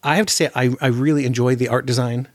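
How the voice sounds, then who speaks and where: A man's voice: medium pitch, steady tone, fast talker, no echo. A man hosting a casual film discussion, often repeating words as he works out his thoughts. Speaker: podcast host.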